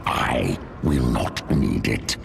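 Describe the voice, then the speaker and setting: A massive primal alien pack leader for a video game. His guttural, deepened growl is intensely gravelly and slow. Loud music plays behind his terse, menacing words. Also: full character voice. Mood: calm menace. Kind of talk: creature acting for video game.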